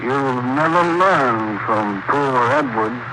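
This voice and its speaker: Stern prison official, resigned, measured, unhurried pace, 1940s radio drama, noisy recording. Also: male voice, medium pitch, animated. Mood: grave, stern.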